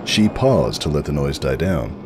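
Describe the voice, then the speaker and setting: Deep-voiced man, animated story narrator. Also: normal speed, background noise. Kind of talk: dramatic scripted comic narration.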